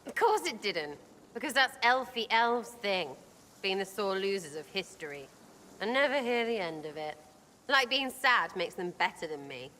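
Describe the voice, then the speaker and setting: A woman's voice: medium pitch, sing-song, normal speed, pushed and bright, in a large hall, slight background noise. A woman playing a brash, stubborn young elf in a video game, with an English accent and a blunt, scoffing, slangy way of talking. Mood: mocking. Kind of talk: video game character dialogue.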